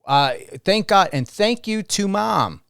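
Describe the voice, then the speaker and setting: Clear-voiced male radio host. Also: high-pitched, expressive, takes it slowly, a bit bright, dry recording, studio quality. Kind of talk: unscripted radio interview and sign-off.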